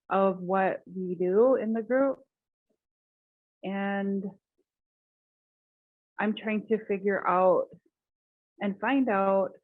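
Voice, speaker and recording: A soft-spoken woman caller who hesitates and searches for words as she asks her question. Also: mid-range voice, dry recording. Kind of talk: casual chat. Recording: dry, tinny call line.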